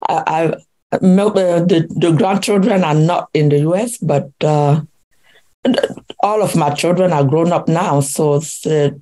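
A woman's voice: deep voice, a little slow, dark tone. An older woman from Cameroon, a guest relationship coach, talking in an interview with many fillers and restarts. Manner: hesitant, many fillers and restarts, laughs often. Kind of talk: interview conversation.

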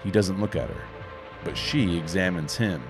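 A man in his forties reading screenplay action lines in a measured, wry way into a studio microphone in a home podcast studio. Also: deep voice, music in the background. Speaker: host and narrator. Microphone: studio microphone with headphones.

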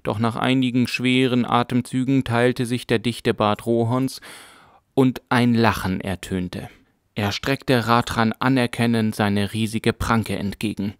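A man narrating a fantasy audiobook in a calm, measured storytelling manner. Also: mid-range voice, clear, resonant tone, no echo, clean studio sound. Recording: music bed under narration.

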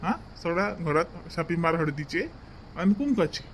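High-pitched village priest played by a man. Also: lively intonation, moderate pace, a little hiss or hum. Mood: instructing, brisk. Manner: instructing, repeats his directions. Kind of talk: comedy cartoon dialogue.

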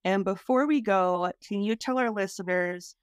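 A female podcast host speaks in a casual conversational style. Her pitch is medium for a woman, and the sound is close and dry, with no room echo. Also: moderate pace, clean tone. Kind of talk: casual chat.

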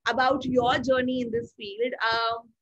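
A woman's voice: fairly high pitch, little pitch movement, unhurried. A young woman in her twenties hosting a webinar from a small room at home, friendly with many fillers. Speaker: webinar host. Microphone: laptop mic on a video call. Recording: dull lo-fi video call audio.

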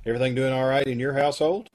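A man's voice: mid-range voice, a little mellow. A middle-aged man chatting casually as host of an amateur troupe, introducing people over a video call. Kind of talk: casual chat, introducing cast and show. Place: indoor room at home, video call. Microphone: video call microphone.

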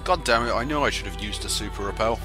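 A man's voice: fairly low pitch, quick pace, music in the background, close to an everyday voice. A man playing an exasperated, sceptical young adventurer, incredulous and emphatic, in an anime parody dub.

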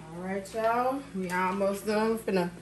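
A woman chats easily as she cooks, calling her viewers "y'all." Her voice sits at a middle pitch and bounces off the hard walls of a home kitchen. Pans sizzle and pots clatter clearly under her words, picked up by a phone camera held over the stove. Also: measured pace. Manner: relaxed, chatty, addresses viewers as y'all. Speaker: home cook vlogger. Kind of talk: casual cooking narration. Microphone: phone camera filming over the stove. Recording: echoey kitchen with cooking noise.

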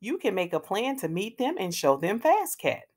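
A practical, encouraging young storybook girl, read by a woman. The delivery is matter-of-fact yet lively in pitch, like a helpful friend. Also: moderate pace.

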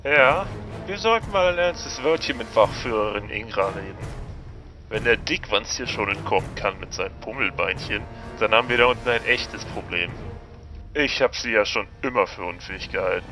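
A man playing a gruff, contemptuous guard with a mocking manner in a fantasy audio drama. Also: lowish voice, moderate pace, over music, close to an everyday voice. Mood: mocking contempt. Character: gruff, contemptuous male guard catching an escaped child. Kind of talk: German fan audio drama acting.

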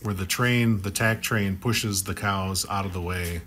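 A man's voice: low-pitched, steady tone, a little slow, a bit bright, a little hiss or hum. Upbeat joking male podcast host. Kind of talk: lively on-site podcast chat. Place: office meeting room. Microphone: desk podcast mic.

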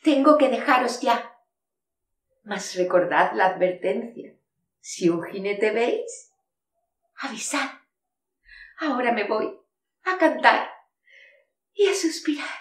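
A middle-aged actress playing a lonely, dreamy maiden walled up in a castle for centuries, declaiming slow sighing verse. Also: female voice, a little high, animated. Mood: wistful. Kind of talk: theatrical monologue in verse. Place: studio with castle backdrop. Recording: echoey room, mic far off.

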